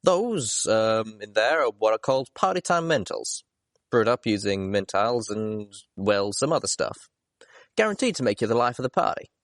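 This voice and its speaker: A man's voice: lowish voice, sing-song, moderate pace, no room sound. Casting: male reader as a folksy, easygoing storybook pony, teasing, close dry recording. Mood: folksy, persuasive. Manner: folksy, mock-spooky when teasing. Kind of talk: character voice in audiobook reading.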